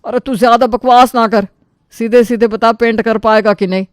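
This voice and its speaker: A woman's voice: on the high side, steady tone, normal speed, no echo, studio quality, pitched above the performer's own voice, close to an everyday voice. A woman voicing an anxious middle-aged father in a storybook tale, earnest and fretful. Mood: irritated. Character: anxious middle-aged family man, timid before his sister.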